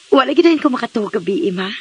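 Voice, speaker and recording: A woman's voice: fairly high pitch, slightly rough, exaggerated voice, rough recording. A woman with a Visayan Filipino accent playing a dreamy, cheeky teenage daughter in a radio drama. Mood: teasing.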